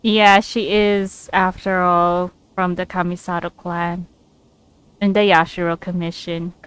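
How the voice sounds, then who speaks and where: A woman's voice: fairly low pitch, flat delivery, a little slow, fairly bright tone, smooth, no room sound, rough recording. A woman giving casual video game playthrough commentary in short, offhand reactions.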